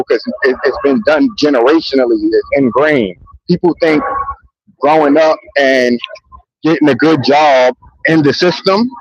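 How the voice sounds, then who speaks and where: A man's voice: mid-range voice, dry recording, highs cut off. A man talking into a handheld mic outdoors in public, in a loose, rambling and profane monologue that keeps circling back with "you know what I mean.". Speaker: vlogger. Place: outdoors in a public area near trains.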